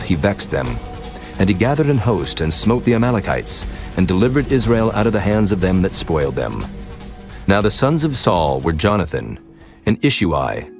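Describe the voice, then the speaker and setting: A man narrating scripture in a solemn, steady storytelling manner. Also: low-pitched.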